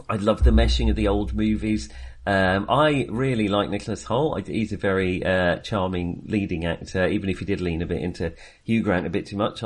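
A man co-hosting a casual film review chat, speaking hesitantly and often restarting and correcting himself. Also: fairly low pitch. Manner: hesitant, self-correcting, with frequent repeated starts. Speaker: film podcast co-host.

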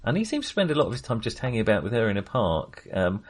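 Low-pitched man, rambling film chat. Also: sing-song, quick pace. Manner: rambling, searching for words, self-interrupting. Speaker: film podcast co-host. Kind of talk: casual film discussion.

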